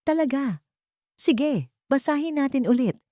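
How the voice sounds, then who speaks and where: Woman as cheerful grown man, Filipino accent, easygoing uncle, lifted lively intonation, audio drama. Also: high voice, unhurried, no echo, clean studio sound, pitched above the performer's own voice. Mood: friendly. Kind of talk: audio story character voice.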